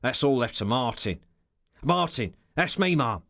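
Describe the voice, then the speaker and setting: A sly, boastful henchman with rough, slangy talk and a pitch that swings widely, as a man reading an audiobook puts him on. He feigns innocence and candour. The recording is close and dry. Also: lively intonation, measured pace, a bit bright, no room sound.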